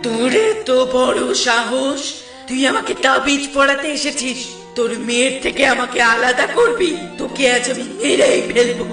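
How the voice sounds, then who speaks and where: A woman's voice: high-pitched, normal speed. A woman voicing a menacing jinn that has possessed a young woman, threatening and commanding in a cartoon. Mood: murderous menace. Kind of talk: cartoon voice acting. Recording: echoey voice over loud music.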